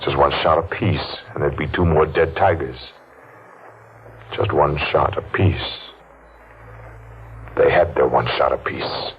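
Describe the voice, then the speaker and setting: An actor playing a menacing masked man seeking revenge, taunting and deliberate, in 1940s radio acting style. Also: a bass voice, fairly even intonation, a little hiss or hum. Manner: taunting, slow and deliberate, laughs mockingly. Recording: muffled old radio recording.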